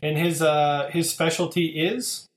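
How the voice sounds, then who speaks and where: A man's voice: a little high, fairly even intonation, measured pace, echoey room. A man hosting a podcast, chatting casually and upbeat with lots of fillers. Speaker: podcast host.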